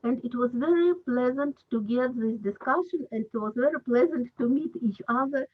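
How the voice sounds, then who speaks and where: Woman hosting an online panel, hesitant English, husky voice on a phone-like line. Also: fairly high pitch. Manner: halting non-native English, many fillers. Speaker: round table moderator. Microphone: video call. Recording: husky voice over a tinny call line.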